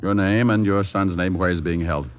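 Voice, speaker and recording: An actor playing a breezy, wisecracking private detective who also narrates, in a glib 1940s radio acting style. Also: male voice, low-pitched, steady tone, normal speed, natural voice. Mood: dry, businesslike. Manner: glib, teasing, flippant. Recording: muffled vintage 1940s radio recording.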